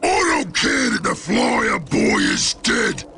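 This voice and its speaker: An actor playing a brash, belligerent Ork warboss in a video game, blustering in crude broken grammar. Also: male voice, very high-pitched, sing-song, slow pace, creaky, bright, forceful tone, slight background noise, exaggerated voice. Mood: irritated bluster. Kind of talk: cartoonish video game voice acting.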